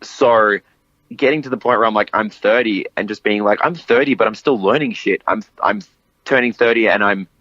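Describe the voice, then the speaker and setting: A man's voice: on the low side, moderate pace, a bit bright, dry recording, muffled recording. A man in his late twenties hosting a podcast, chatting casually and animatedly, swearing and laughing often. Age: 20s. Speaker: podcast host. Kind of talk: casual conversation.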